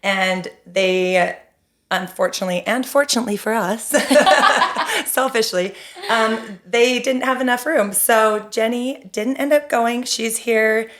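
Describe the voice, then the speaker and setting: A woman's voice: on the high side, a little slow, a bit bright. A woman co-hosting a podcast in a casual, conversational interview style. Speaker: podcast co-host.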